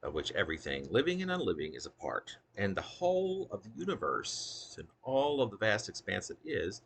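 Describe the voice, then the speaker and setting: Low, gravelly man reading poetry. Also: huge pitch swings, moderate pace, fairly bright tone. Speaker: poet reading own work. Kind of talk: spoken poetry reading with casual asides.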